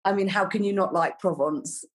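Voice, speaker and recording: A middle-aged woman talks easily as an interview guest, warm and rambling, with many ums and soft laughs. Her voice sits at an everyday middle pitch. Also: normal speed. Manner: chatty and warm, with frequent ums and light laughs. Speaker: podcast guest, flower farmer. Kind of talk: casual interview chat.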